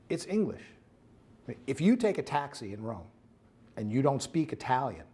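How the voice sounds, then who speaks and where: A man's voice: medium pitch, darkish tone. A man giving a scripted academic lecture in an explanatory way, putting rhetorical check questions to his listeners.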